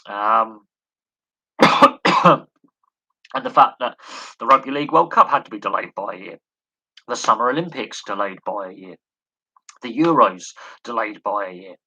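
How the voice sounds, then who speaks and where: A man with a low, very bright and sharp voice talks sports on a live stream. He is going hoarse and coughs now and then. He rambles, stumbling over repeated words between "um"s. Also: expressive, a little slow, long pauses, low-quality recording. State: losing his voice, coughing. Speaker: sports commentator answering questions.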